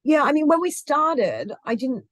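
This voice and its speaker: A woman's voice: high voice, very animated, measured pace, fairly bright tone, no room sound, clean studio sound. A woman talking passionately as an interview guest, often restarting her sentences and laughing easily. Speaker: guest, recruitment founder. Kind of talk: conversational interview.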